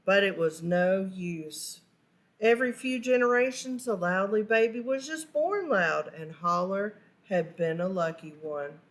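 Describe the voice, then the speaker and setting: A woman reading a children's storybook aloud with expressive narration and deliberate, animated emphasis on key words. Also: medium pitch. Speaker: storybook reader.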